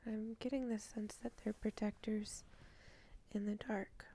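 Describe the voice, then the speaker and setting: A woman leading a channeled guided meditation, speaking softly and half-whispering, with sighs and long pauses. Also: medium pitch, flat delivery. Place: indoors at night.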